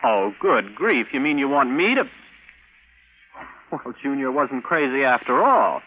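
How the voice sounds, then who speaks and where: An actor playing a brash, wry bachelor rocket pilot and scientist in a 1950s radio drama, talking in a conversational way. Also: male voice, mid-range voice, sing-song, a little slow. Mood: dismayed. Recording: tinny, noisy vintage radio broadcast.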